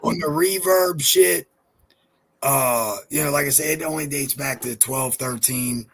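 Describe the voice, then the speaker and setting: A man in his thirties with a deep, husky voice, a rapper chatting in a casual interview, rambling and swearing with lots of "you know, like.". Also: a little slow, fairly bright tone, a little hiss or hum. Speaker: rapper guest.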